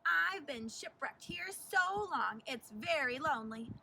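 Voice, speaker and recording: A woman's voice: high voice, lively intonation, unhurried, gravelly, far from the mic, background noise, exaggerated voice. A woman voicing a lonely, eager castaway man from a storybook.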